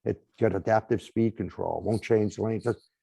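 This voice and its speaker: A man with a Boston accent explains home systems in long conversational runs, tagging his points with a quick "right?". His voice sits low with a gravelly edge and a deeply mellow tone. It is recorded dry and close. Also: steady tone, no echo. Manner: explanatory, lists items, frequent "right?" tags. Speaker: smart home company guest. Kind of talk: conversational interview.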